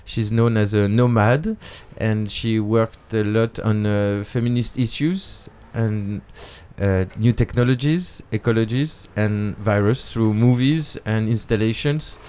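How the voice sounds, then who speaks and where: A man's voice: fairly low pitch, measured pace, hardly any pauses, darkish tone, smooth. A man with a French accent hosting a casual interview in English, hesitantly searching for his words. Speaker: host.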